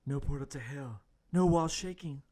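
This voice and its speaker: A man's voice: medium pitch, moderate pace, mellow, close to an everyday voice. A man playing a young intern hero who narrates his adventure mock-heroically in an amateur comedic radio play. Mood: mock-heroic narrating.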